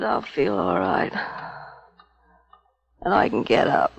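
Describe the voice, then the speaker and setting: A woman's voice: low-pitched, vocal fry, dull audio, heavily acted. Anxious young woman in echoing nightmare. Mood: weary, resisting. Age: young adult. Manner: dramatic, dreamy first-person narration. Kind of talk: 1950s suspense radio drama acting. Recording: 1950s radio broadcast, long reverb, music behind.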